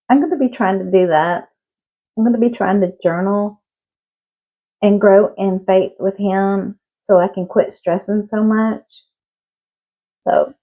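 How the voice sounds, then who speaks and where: A woman's voice: mid-range voice, lo-fi sound. A woman in her fifties with a Southern US accent, chatting frankly to camera and laughing between thoughts. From Southern United States. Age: 50s. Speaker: vlogger. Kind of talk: casual talk to camera.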